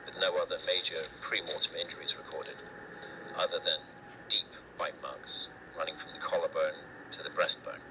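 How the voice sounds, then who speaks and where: A low-pitched male doctor, played by an actor, dictates a grim horror report. He is formal, clinical and detached, choosing his words deliberately. The tape is clearly noisy. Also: steady tone. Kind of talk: scripted analog horror narration, recorded report.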